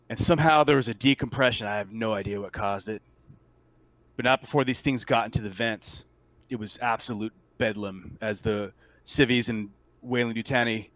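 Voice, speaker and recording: A man playing a shaken marine soldier in a tabletop roleplay, giving a halting, stammering account of a disastrous mission. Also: medium pitch, fairly bright tone, no echo, lightly acted, poor audio. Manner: halting, stammering, incredulous testimony. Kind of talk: improvised tabletop roleplay monologue.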